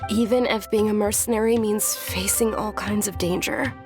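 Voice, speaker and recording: A woman playing a polite, gentle and affectionate young noblewoman in a video game. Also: medium pitch, steady tone, normal speed, over music, lightly acted. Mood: wistful.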